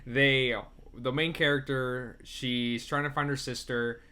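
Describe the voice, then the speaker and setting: A man's voice: medium pitch, little pitch movement, unhurried, bright, forceful tone, smooth. A man co-hosting a movie review podcast, talking casually and loosely as he searches for words. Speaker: podcast co-host. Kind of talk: casual film review chat.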